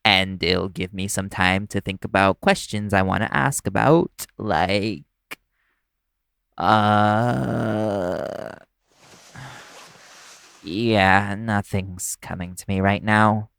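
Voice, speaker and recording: A man acting in an audio roleplay as a nervous, caring young vampire's human partner, speaking in a halting, stammering way. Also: fairly low pitch, vocal fry, a bit bright, clear, resonant tone, dry recording, studio quality, exaggerated voice. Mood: reassuring, nervous.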